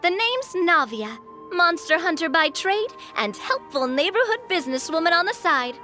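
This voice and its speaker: A woman's voice: very high-pitched, very animated, moderate pace, pushed and bright, faint music behind, full character voice. A woman playing a confident, friendly young monster hunter in an anime game, upbeat and encouraging. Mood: confident, inviting.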